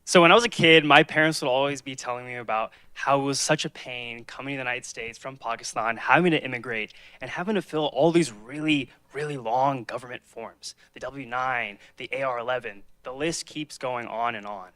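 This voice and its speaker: A man pitching his team's hackathon app, framing it with a personal story before starting the demo. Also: a little fast, hardly any pauses, sharp tone, clear, resonant tone, dry recording. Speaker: hackathon presenter.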